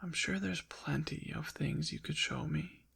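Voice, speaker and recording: An ancient demon acted out by a man murmurs close in an ASMR roleplay. The voice is very breathy and husky, often sinking to a whisper, with heavy crackling fry. He speaks slowly and formally, haughty and possessive, yet with a teasing softness. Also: a little high, exaggerated voice. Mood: apologetic, soothing. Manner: formal, slow, deliberate diction. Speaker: audio roleplay performer. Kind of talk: ASMR roleplay, intimate scripted monologue.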